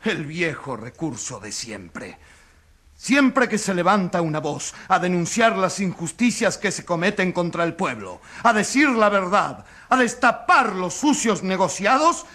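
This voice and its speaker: An actor playing an indignant crusading journalist in a radio courtroom drama, accusing a corrupt leader in impassioned, declamatory speech. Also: male voice, high voice, sing-song, unhurried, sharp tone, exaggerated voice. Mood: earnest, accusing. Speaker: accuser in a trial. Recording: crowd clamour behind the voice.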